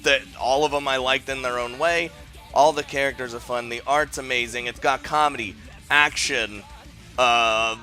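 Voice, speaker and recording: A man with a bright, forward voice and a middling pitch hosts a casual list-style game review. He talks informally and enthusiastically, wandering through his thoughts. Faint music plays underneath. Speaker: video game reviewer and host. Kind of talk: casual top-five list commentary.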